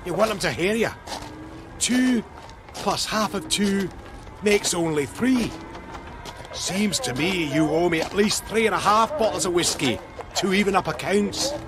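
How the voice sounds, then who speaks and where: An actor playing a friendly, sly, haggling army cook in a video game. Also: male voice, sits high, animated, measured pace, long pauses. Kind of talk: video game character acting. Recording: noisy vehicle ambience behind.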